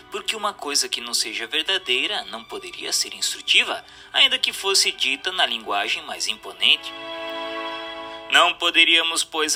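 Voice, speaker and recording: Male Brazilian narrator, higher-set and very bright, sharp tone; formal didactic audiobook read with faint music underneath. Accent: Brazilian Portuguese. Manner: formal, measured, didactic reading. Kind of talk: scripted narration of a spiritual text.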